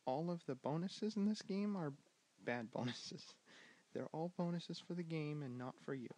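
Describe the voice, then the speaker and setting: A young man with a soft, gentle voice teaching a board game in a soft-spoken ASMR style, slipping in and out of whispers and pausing with ums. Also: on the high side. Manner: drifting in and out of whisper, hesitant with many ums. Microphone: handheld camera mic.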